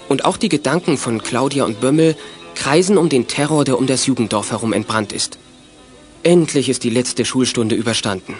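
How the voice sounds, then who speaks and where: A male storyteller played by an actor narrates a youth detective radio play in scripted prose. His voice sits at a middle pitch, with faint music underneath. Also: lightly acted.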